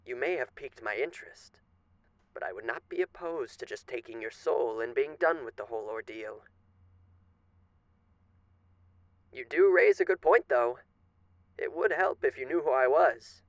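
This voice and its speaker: A courteous, menacing male demon of the radio, performed by a man for an ASMR roleplay. His mid-pitched voice has a creaky, gravelly edge. He draws out his phrases like a genteel showman, teasing and faintly threatening, in a very dry, intimate space. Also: animated, no echo, lightly acted. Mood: teasing, patient menace. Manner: genteel, mock-polite, teasing, drawn-out theatrical phrasing. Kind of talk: theatrical ASMR roleplay monologue.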